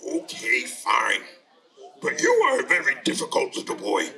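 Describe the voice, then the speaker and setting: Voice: mid-range voice, wildly swooping pitch, normal speed, creaky, pushed and bright, exaggerated voice. A storyteller voicing a wheedling, grumpy belly button monster in a children's story, recorded from a distance. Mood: grumbling.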